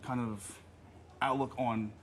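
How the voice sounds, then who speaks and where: A man's voice: medium pitch, fairly even intonation, takes it slowly, slight background noise. A man in his thirties giving earnest answers as a TV interview guest. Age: 30s. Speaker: reality show guest.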